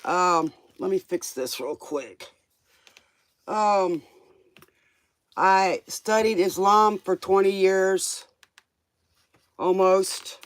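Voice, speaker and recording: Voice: mid-range voice, drawn-out words, long pauses, smooth, dry recording. A livestream tarot host chatting casually in a rambling, informal way, drifting between thoughts and tossing out questioning asides.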